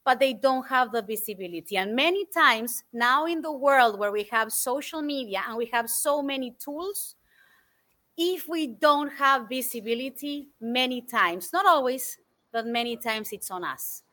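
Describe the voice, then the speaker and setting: A woman in her forties from Argentina, guest on an interview show, telling stories in an animated, thoughtful way with many fillers. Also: sits high, unhurried. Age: 40s. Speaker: guest speaker. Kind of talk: interview answers, storytelling.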